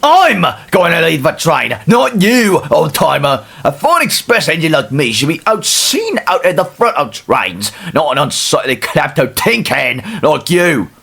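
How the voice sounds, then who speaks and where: Guy doing a snotty arrogant train engine, sharp and gravelly, sneers and grumbles in a kids story style. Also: on the high side, big pitch swings, a little slow, hardly any pauses, full character voice. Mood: haughty scorn. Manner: sneering, swearing, grumbling and insulting. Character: rude, arrogant male express steam engine, scornful and impatient.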